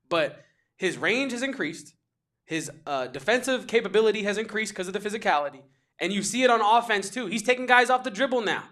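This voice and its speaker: A man co-hosting a sports podcast, talking casually and excitably, with emphatic repetition and quick restarts. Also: high-pitched.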